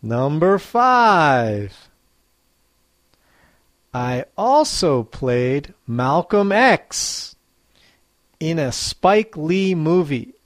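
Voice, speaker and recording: A teacher hosting a guessing quiz for learners, speaking very slowly and spacing every word. Each clue is read twice with a lively, rising and falling pitch. The sound is dry and close, with no room around it. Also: no echo. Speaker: English teacher. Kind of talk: slow quiz dictation for learners.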